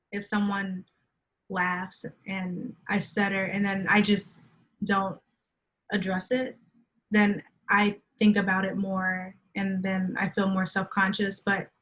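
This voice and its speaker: Slow-talking young woman who stutters. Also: mid-range voice, fairly bright tone, poor audio. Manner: stutters, with repeated words and fillers; honest, reflective. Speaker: guest. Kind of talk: conversational interview.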